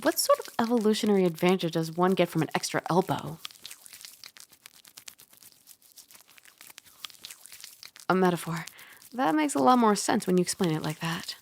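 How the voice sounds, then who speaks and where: Woman doing a dragon girl roommate for asmr, soft creaky voice, gently scolding and teasing, puzzled by humans. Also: mid-range voice, slight background noise, close to an everyday voice. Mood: curious, puzzled. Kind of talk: ASMR roleplay, soft intimate instruction. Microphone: close ASMR microphone.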